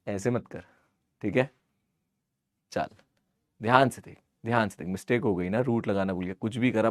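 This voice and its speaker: A man with a hoarse voice teaches an informal live online maths class, teasing and scolding his students and repeating phrases for emphasis. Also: fairly low pitch, a little mellow, dry recording, clean studio sound. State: hoarse, sore throat.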